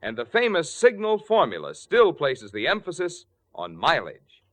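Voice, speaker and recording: A man's voice: a little high, wildly swooping pitch, normal speed, fairly bright tone. A man with an American accent announcing commercials and credits in 1940s radio style. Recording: 1940s radio broadcast with faint music.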